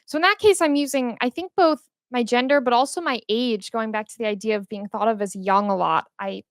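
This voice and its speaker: A 25-year-old woman with a high voice speaks on a conference panel. She explains her points eagerly, with some fillers. The recording is dry and close. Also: a little fast, dry recording. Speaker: panelist, tech reporter. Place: conference room.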